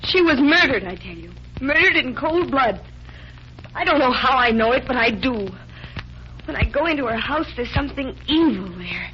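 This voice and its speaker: A woman's voice: high-pitched, animated, moderate pace, soft background music. A woman playing a frightened, earnest young heiress, pleading in emotional 1940s radio drama style. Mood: fearful, insistent. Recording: muffled, noisy 1940s radio recording.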